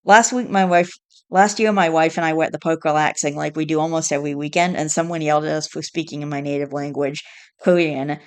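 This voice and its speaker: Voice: mid-range voice, fairly even intonation, brisk, clean tone. A host with a stuffed-up nasal voice who reads online posts aloud in a casual, rambling way, with sighs and self-corrections along the way. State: congested, stuffed-up nose.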